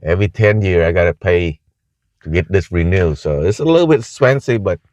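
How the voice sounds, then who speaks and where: A man from Vietnam with a Vietnamese accent, explaining things informally and haltingly as a vlogger in a casual talking-head video. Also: sits low, animated, a little mellow. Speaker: travel vlogger. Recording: muffled, echoey room sound.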